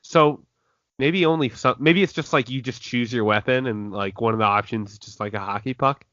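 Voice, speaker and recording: A man's voice: medium pitch, brisk, a bit bright, no echo. Young man, podcast host, reads news aloud, laughs easily, lo-fi sound, dry. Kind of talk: casual podcast chat. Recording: dull lo-fi dry recording.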